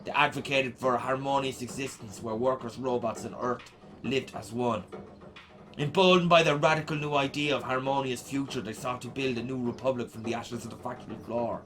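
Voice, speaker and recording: A man's voice: mid-range voice, steady tone, a little fast, a bit bright, lightly acted. An Irish man playing a sardonic, slangy teenage punk boy reading out his zine in a radio play. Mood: wry, animated. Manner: irreverent, slangy, sweary.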